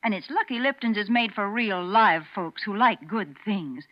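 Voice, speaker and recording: A woman's voice: medium pitch, expressive, moderate pace, lightly acted. A woman plays a folksy, homey radio spokeswoman of the 1940s. Her intonation swings widely and emphatically. The sound is dull, like an old broadcast. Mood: cheerful chiding. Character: chatty, prim, homey woman pitching products. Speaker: commercial spokeswoman. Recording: muffled 1940s radio recording.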